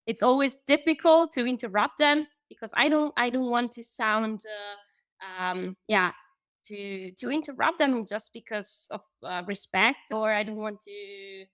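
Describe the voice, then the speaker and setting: A woman's voice: fairly high pitch, measured pace, a bit bright, no echo. A woman from Italy with an Italian accent, a guest speaking English in a casual interview, hesitant with many fillers and restarts. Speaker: guest, language coach.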